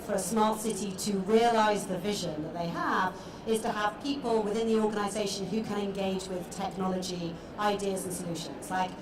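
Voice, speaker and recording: A woman on a conference panel talks in an easy, conversational way. Her voice is mid-pitched. The hall echoes, and an audience can be heard behind her. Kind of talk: panel discussion.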